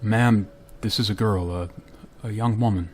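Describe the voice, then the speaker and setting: An actor playing a troubled, dutiful space pilot who narrates in a terse, grave way in a radio play. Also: male voice, on the low side, a little slow, darkish tone, a little hiss or hum, natural voice. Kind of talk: dramatic radio play acting with first-person narration.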